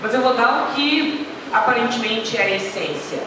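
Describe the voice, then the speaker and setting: A woman's voice: fairly high pitch, expressive, normal speed. A woman giving a chatty, anecdotal talk to an audience, with self-deprecating asides. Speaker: guest speaker. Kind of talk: informal lecture. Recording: echoey hall, speaker far from the mic, noisy.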